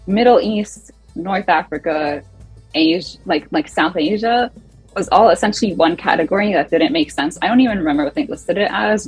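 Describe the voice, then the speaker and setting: A young woman shares a school story as a panelist in a casual, rambling way full of "like." Her voice is very breathy and husky. It sits a little low and sounds dry and close. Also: no room sound, low-quality recording. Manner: chatty, filler-heavy storytelling with "like". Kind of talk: online panel discussion.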